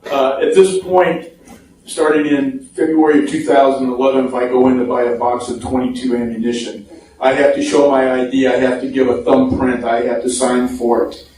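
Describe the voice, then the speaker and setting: American guy hosting a political interview, soft mellow voice, super echoey room. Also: mid-range voice, level tone, normal speed. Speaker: radio show host, interviewer. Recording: echoey room, distant mic, faint noise.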